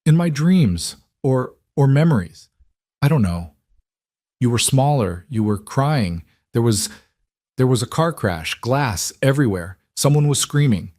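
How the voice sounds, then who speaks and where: Scared young woman who can't remember anything, a guy doing her voice, high and breathless, dry sound. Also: animated, measured pace, pauses often, a little mellow, no room sound, natural voice. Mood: shaken. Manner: panicky, breathless pleading. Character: frightened young woman with amnesia.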